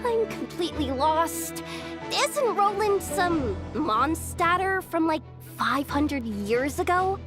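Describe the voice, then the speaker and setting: Tiny, squeaky, excitable fairy companion. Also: female voice, slow, over music, exaggerated voice. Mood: baffled. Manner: exclamatory, speaks of self in third person. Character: small excitable floating fairy-like female companion, easily confused. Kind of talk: animated video game voice acting.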